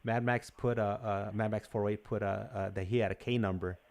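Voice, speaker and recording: A man hosting a live call-in show in a casual, halting, agreeable way, often repeating himself. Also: lowish voice, fairly even intonation, darkish tone. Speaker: host of a livestream call-in show.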